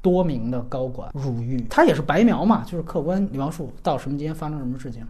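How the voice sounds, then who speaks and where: A woman's voice: extremely low pitch, expressive, fast talker, warm tone. A woman hosting a film podcast, telling stories and giving commentary in conversation, acting out quoted dialogue as she retells.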